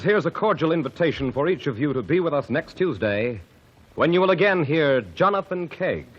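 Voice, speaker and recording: A man announcing a radio program in a formal 1940s style, reading credits and sponsor copy. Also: a little high. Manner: smooth, formal announcer delivery. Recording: dull old radio broadcast.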